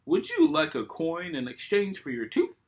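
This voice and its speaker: A man voicing a sweet, polite female tooth fairy in a storybook, speaking softly and delicately. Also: sits high, wildly swooping pitch, moderate pace, creaky, voice pushed up, exaggerated voice.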